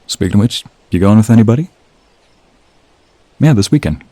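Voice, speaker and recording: Male actor as smooth-talking office crush for romantic audio role play: low, husky, confident, teasing. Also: lively intonation, normal speed, warm tone, dry recording. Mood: playful, flirty. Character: flirty, confident male office coworker asking out a friend.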